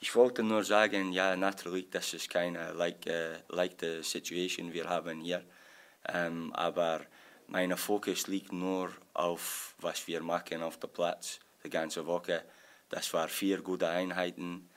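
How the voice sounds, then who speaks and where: Man, Scottish accent, English native speaker, halting German, low voice, flat delivery, press conference. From Scotland. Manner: halting German with English slips and many fillers. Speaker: assistant football coach.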